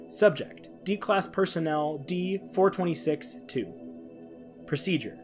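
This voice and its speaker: Male podcast host, fairly high voice with very animated intonation, faint music underneath. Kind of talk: dramatic reading of a science-fiction horror log; casual podcast sign-off.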